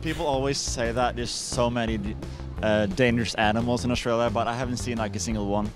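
A man's voice: mid-range voice, steady tone, quick pace. A man answering street interview questions casually, on a busy street with a handheld mic. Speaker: interviewed tourist. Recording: music bed under voice.

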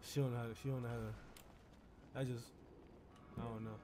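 A man's voice: mid-range voice, level tone, normal speed. A young man bantering casually in street slang during online game roleplay, often repeating his phrases. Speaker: player in a GTA roleplay server. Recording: background noise on game voice chat.

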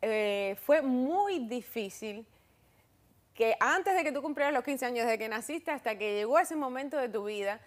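A middle-aged woman speaks in a fairly high voice with a Cuban accent. She hosts a television interview in a conversational style. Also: expressive, normal speed. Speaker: talk show host. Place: television studio set with sofas.

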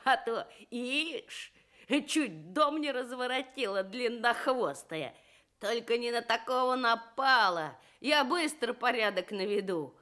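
A woman's voice: on the high side, expressive, takes it slowly, sharp tone, heavily acted. A woman voicing a grumpy, stubborn male badger in a children's radio tale, grumbling indignantly. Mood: smug.

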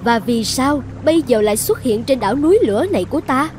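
An imperious volcano goddess played by a woman with a high voice. She sounds commanding and formal, a cartoon fairy-tale island guardian, with faint music behind her. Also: animated, quick pace, a little mellow, close to an everyday voice. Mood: stern, surprised. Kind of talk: animated fairy tale dubbing.

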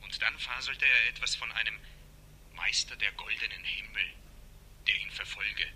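Sharp, bright-voiced male nurse. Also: fairly low pitch, steady tone, normal speed, creaky, husky, full character voice, poor audio. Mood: clinical, reporting. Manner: clinical, precise reporting. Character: dutiful male psychiatric nurse, clinical and obedient. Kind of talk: 1960s German radio crime drama acting.

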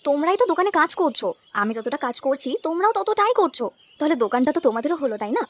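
Woman voicing very high-pitched, fast-talking young village wife. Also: very animated. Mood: busy, friendly. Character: young village wife, practical and caring, starting an eatery. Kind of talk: cartoon voice acting.

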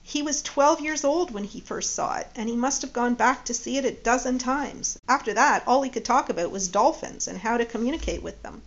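A woman's voice: on the high side, brisk, hardly any pauses, natural voice. A woman voicing a chatty, effusive middle-aged mother in a read-aloud story, on dull lo-fi audio. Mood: gushing. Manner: gushing, effusive.

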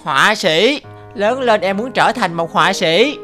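A bright little boy's voice, for a children's cartoon. He is curious, eager and obedient, with a childlike questioning manner. Soft music runs faintly behind. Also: mid-range voice, expressive, unhurried. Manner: inquisitive, childlike.

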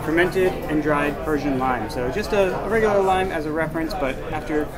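A man's voice: a little high, a little fast, poor audio. A man serving at a restaurant table, politely explaining each dish with some hesitations. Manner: polite, informative, with hesitant fillers. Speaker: restaurant server. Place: busy restaurant dining room. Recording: music and crowd behind.

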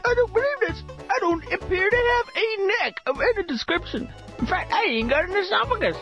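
A man voicing a put-upon, dim pitchfork in a video game, complaining and full of self-pity. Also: lively intonation, brisk, sharp tone, muffled recording, faint music behind, heavily acted. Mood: dismayed.

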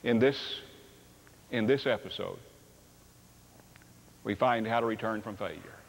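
Older pastor, animated stage preacher, long reverb of a large church. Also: male voice, mid-range voice. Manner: animated, acts out dialogue with sweeping gestures. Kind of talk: sermon.

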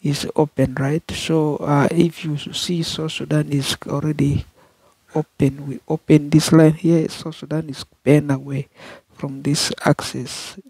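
Middle-aged man, non-native English, husky gravelly voice, slow halting talk, repeats himself, dry phone recording in an apartment. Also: fairly high pitch, flat delivery, darkish tone, dry recording. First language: not English. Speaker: channel host. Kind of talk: rambling off-camera walkthrough. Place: apartment room with a window view. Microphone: phone camera held off-camera.

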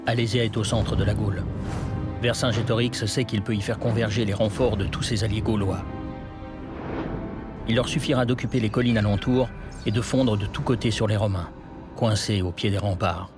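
A man giving scripted dramatic historical narration in a measured, grave storytelling manner. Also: on the low side, steady tone. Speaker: documentary narrator. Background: loud music.

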